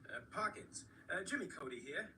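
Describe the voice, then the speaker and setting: Pragmatic doctor, higher male voice, distant. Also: expressive, moderate pace, music in the background. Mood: urgent, pragmatic. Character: brisk, pragmatic small-town male doctor. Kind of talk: radio drama dialogue. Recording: distant, noisy radio drama playback.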